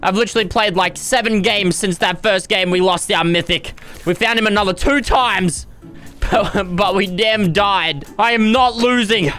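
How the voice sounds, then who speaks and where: Young male gamer, high bright voice, over-the-top commentary, going hoarse. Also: normal speed, soft background music. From Australia. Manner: loud, dramatic, squealing yelps and groans. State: starting to lose his voice. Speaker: gaming YouTuber. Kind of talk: excited gameplay commentary.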